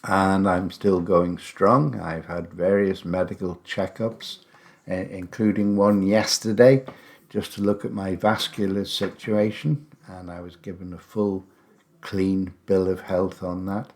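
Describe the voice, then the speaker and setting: A man's voice: low voice, level tone, unhurried. A man in his seventies, host of a podcast, talking about himself in a chatty, rambling way with wry asides. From England. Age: 70s. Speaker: podcast host, English teacher. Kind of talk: casual personal monologue.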